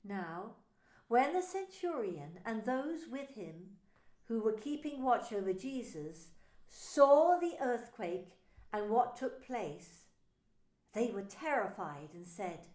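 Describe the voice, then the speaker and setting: A woman pastor narrating a scripture story in a slow, solemn reading. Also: a little high, very animated, darkish tone, reverberant.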